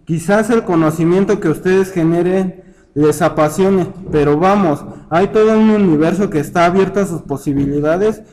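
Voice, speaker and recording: A man's voice: high-pitched, normal speed, darkish tone, slight background noise, close to an everyday voice, low-quality recording. A man playing a serious young worker who addresses his fellow labourers in a solemn, accusing, oratorical way in an audio story. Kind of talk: dramatized speech in an amateur audio story.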